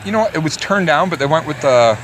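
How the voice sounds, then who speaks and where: A man's voice: on the high side, lively intonation, fast talker, slight background noise. A man co-hosting a podcast, talking casually about films in a blunt, offhand way. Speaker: podcast co-host. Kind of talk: casual film review chat.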